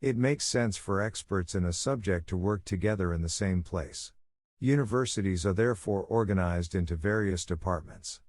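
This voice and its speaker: Low-voiced man reading formally. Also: normal speed, a little mellow, no echo, clean studio sound. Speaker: reader of model read-aloud test passages. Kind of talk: scripted read-aloud of academic texts.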